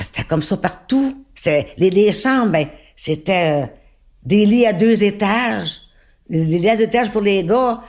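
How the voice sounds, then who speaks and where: A woman's voice: fairly low pitch, a little mellow. A woman in her seventies with a Québécois accent, reminiscing casually in a folksy way and often restarting her words. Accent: Québécois French. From Montreal, Quebec. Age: 70s. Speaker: interviewee.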